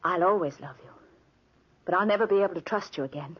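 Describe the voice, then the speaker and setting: An actress playing a grieving, resolute woman torn between love and distrust, with deliberate melodrama in a 1940s radio play. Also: fairly low pitch, husky. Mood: sorrowful, resolute. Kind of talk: 1940s radio drama acting. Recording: muffled old 1940s radio recording.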